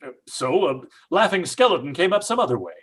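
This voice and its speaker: A man's voice: high-pitched, big pitch swings, a little fast, fairly bright tone, slightly rough, heavily acted. An actor playing a stubborn, skeptical middle-aged father in an old-time radio horror drama, blustering and combative. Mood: impatient, determined. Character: stubborn, skeptical father, angrily sure of a hoax.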